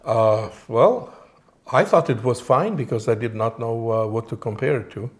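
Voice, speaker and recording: A man in his forties from Moldova, a native Romanian speaker, answering interview questions in a halting way with many fillers and restarts. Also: mid-range voice. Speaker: interview guest.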